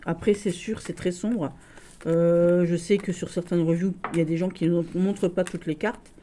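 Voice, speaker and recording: A woman with a Lorraine accent, showing tarot decks in a casual video, gushing and halting with many restarts. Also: on the low side, steady tone, mellow, slight background noise. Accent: Lorraine French. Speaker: tarot deck reviewer. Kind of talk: casual tarot deck showcase.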